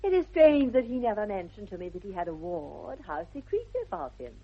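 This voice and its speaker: A woman's voice: mid-range voice, big pitch swings, brisk, breathy edge. An actress playing a haughty, self-assured young aristocratic lady with an upper-class English accent and affected, precise politeness, in a 1960s radio comedy of manners. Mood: haughty, candid. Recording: dull vintage 1960s radio recording.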